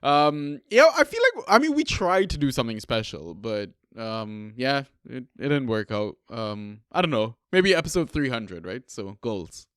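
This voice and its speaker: Young man from trinidad, podcast co-host, loose chatty delivery, smooth voice, dry close mic. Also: medium pitch, sing-song, moderate pace, hardly any pauses, fairly bright tone, no room sound. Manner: laid-back, swears freely, lots of fillers. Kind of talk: casual chat, storytelling.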